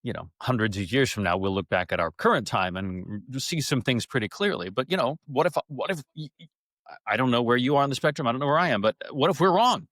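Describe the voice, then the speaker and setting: A man speaking as an interview guest and actor, telling stories casually in an animated, digressive way and often stammering over repeated words. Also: mid-range voice, quick pace, no echo, clean studio sound.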